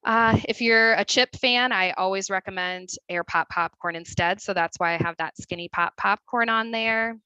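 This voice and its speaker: A woman's voice: medium pitch, normal speed, few pauses, sharp tone, clean tone, dry recording, dull audio. A woman dietitian with a Midwestern accent, giving a chatty webinar presentation over a video call with many fillers. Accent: Midwestern American.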